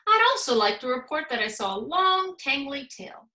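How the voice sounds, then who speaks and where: A woman's voice: high voice, very animated, moderate pace, bright, forceful tone, voice pushed up, exaggerated voice. A woman voicing an earnest, timid but brave little girl pencil in a children's storybook. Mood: suspicious. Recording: roomy echo.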